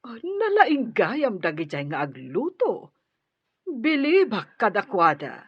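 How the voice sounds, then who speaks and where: A woman's voice: fairly high pitch, huge pitch swings, takes it slowly, husky, dry recording, heavily acted. A woman acting the genial madam of a household in a radio drama. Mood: planning, pleased. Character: genial, unhurried madam of the house planning a celebration. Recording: muffled, dry radio drama recording.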